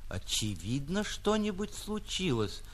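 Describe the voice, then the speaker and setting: A male actor playing a matter-of-fact clarinet player, reasoning aloud in a plain, deliberate manner. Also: high voice, big pitch swings, fairly bright tone, slight background noise, voice pushed up, exaggerated voice. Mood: deliberating.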